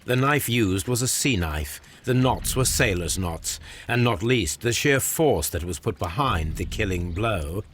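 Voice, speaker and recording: Game casting: coolly deductive British detective of Victorian London. Low voice, deliberate formal delivery, some noise behind. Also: male voice, animated, a bit bright. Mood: calm, accusing. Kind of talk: dramatic video game acting.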